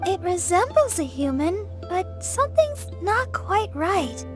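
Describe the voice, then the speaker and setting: A sweet young woman companion from a video game. She sounds girlish and friendly, extremely high in pitch and expressive, with clear music behind her. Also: measured pace, heavily acted. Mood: curious. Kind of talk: video game character acting.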